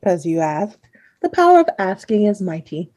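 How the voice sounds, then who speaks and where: A woman's voice: mid-range voice, big pitch swings, moderate pace, dark tone, close to an everyday voice. A woman playing a playful, self-amused narrator in a comedic radio play, breezy and tongue-in-cheek. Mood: obliging.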